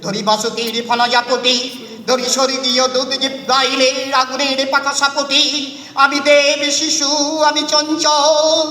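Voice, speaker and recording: A man's voice: extremely high pitch, level tone, unhurried, bright, forceful tone. A man reciting poetry in a dramatic, declamatory style, with emphatic, incantatory delivery in short phrases. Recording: echoey hall reverb, distant voice.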